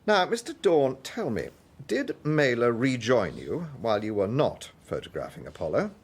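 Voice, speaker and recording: An urbane gentleman detective in a British radio drama. His mid-pitched voice has a husky grain and a very mobile melody. He probes courteously, with dry amusement and cool control. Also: big pitch swings, moderate pace. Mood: probing, brisk. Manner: courteous, probing, dryly amused. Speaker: lead actor as detective.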